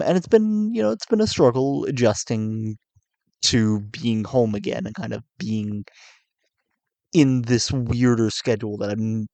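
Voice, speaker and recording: A tired young man in his twenties, coming down with a cold, with a low raspy voice, talking hesitantly and candidly to his viewers. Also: dry recording. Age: 20s. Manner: hesitant, soft-spoken, many fillers and restarts. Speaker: YouTuber. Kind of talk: candid confessional vlog.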